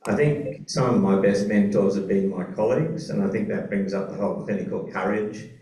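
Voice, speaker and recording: Low-voiced man, roomy hall. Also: dark tone. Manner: measured, thoughtful, with hesitations. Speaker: panelist. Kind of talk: panel discussion at a conference. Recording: roomy conference hall, mic far off.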